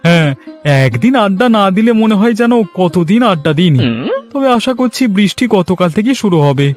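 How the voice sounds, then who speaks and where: Man voicing an envious, high-voiced village schemer. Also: expressive, a little fast, darkish tone, soft background music. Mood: chatty. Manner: chatty, conniving. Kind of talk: Bengali cartoon story acting.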